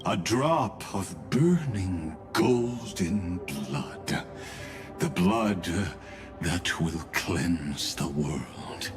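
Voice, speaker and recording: A man voicing a grave, cryptic schemer in a video game, speaking solemn prophecy with deliberate, ominous pauses. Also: deep voice, lively intonation, exaggerated voice. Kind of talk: dramatic video game voice acting. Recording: music behind the voice. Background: game music.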